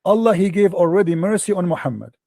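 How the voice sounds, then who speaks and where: A man's voice: sits high, lively intonation, fast, vocal fry, warm tone, no room sound, studio quality. A man hosting a religious debate, pressing his points insistently and repeating himself in nonstandard English. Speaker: debate host.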